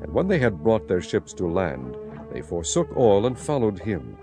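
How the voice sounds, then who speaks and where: A man narrating dramatized scripture as a solemn, measured storyteller. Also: deep voice, sing-song. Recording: clear music bed behind narration. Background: music.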